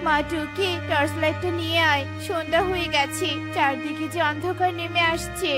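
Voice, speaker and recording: A woman's voice: very high voice, steady tone, normal speed, loud music behind the voice. A woman playing an anxious young mother bird in a children's cartoon, pleading and worried.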